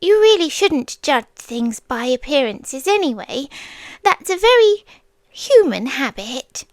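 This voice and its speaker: A British woman voicing a possessive, childlike female sea demon in an ASMR roleplay, tender and eerie, with soft breathy speech that often drops to a whisper and slow, coaxing pauses. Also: high-pitched, very animated, no echo, full character voice. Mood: hurt, coaxing.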